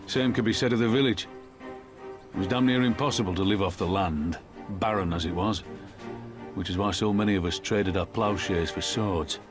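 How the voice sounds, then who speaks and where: Deep-voiced weary veteran soldier, played by an actor. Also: male voice, moderate pace, over music, close to an everyday voice. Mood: wistful, reflective. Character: gruff, weary veteran soldier man, wistful and plainspoken. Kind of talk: scripted video game cutscene dialogue.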